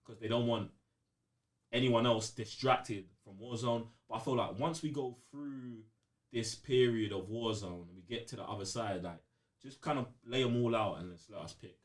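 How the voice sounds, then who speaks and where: A man's voice: mid-range voice, normal speed. A young man with a London accent chatting casually on a gaming podcast in slangy, emphatic, informal speech.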